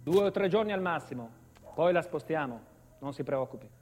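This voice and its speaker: A man's voice: fairly high pitch, expressive, moderate pace, a little mellow. An actor playing a cold, officious male witness protection officer in a stage drama, formal and detached. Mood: curt, dismissive. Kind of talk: stage monologue drama, official reading rules. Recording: long hall-like reverb.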